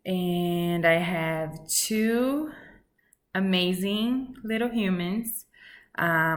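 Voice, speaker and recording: Slow, bright-voiced woman. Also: lowish voice. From Brazil. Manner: earnest and measured, with many pauses and fillers. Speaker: student. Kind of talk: recorded self-introduction for a class.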